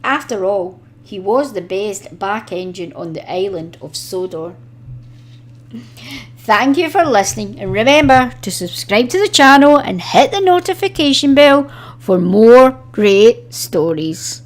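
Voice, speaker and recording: A woman reading a children's storybook aloud in an expressive, deliberate storytelling manner. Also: medium pitch. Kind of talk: expressive scripted storybook narration.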